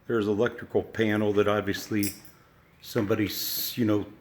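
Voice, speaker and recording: A man with a slightly froggy voice from allergies, giving halting, musing, folksy commentary as he walks around exploring with a handheld camera. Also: fairly low pitch, little pitch movement, slight background noise. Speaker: urban exploration video maker. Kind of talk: casual unscripted walk-through commentary. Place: walking around an abandoned park site.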